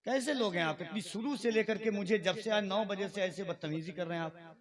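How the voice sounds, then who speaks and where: Man, high voice, religious stage oratory, declamatory, admonishing. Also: fairly quick. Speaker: speaker at a religious gathering.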